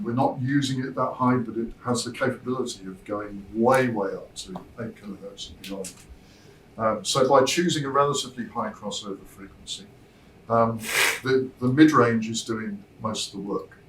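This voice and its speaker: A man with a mid-pitched voice explains a product as its designer. His delivery is measured and informal, with pauses mid-thought. He is picked up from a distance in a reverberant show room. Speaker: loudspeaker designer presenting a product. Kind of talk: informal product presentation to visitors. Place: demo room at a hi-fi show, indoors. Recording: roomy, distant mic, noisy show room.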